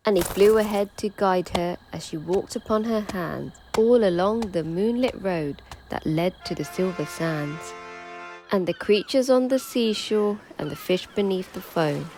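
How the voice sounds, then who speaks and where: A woman's voice: mid-range voice, measured pace, a little mellow. Woman reading a children's storybook over music. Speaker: teacher reading stories for children. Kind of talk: children's storybook read-aloud.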